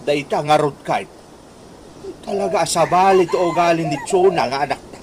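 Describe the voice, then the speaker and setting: A man's voice: a little high, brisk, crowd noise, lightly acted. A man playing a worried, disapproving older father in a radio comedy-drama, with an Ilocano accent. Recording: clearly noisy audio.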